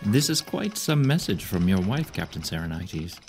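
Deep-voiced commanding Roman general. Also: male voice, big pitch swings, normal speed, music in the background. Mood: kindly, reassuring. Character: older Roman general, commanding yet kindly and shrewd. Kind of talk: audio drama acting.

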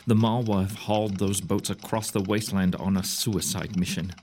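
A commanding general, bitter and self-blaming, voiced by a man in an audio drama. His voice sits low, with faint background noise. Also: fairly even intonation, normal speed, a little mellow, close to an everyday voice.

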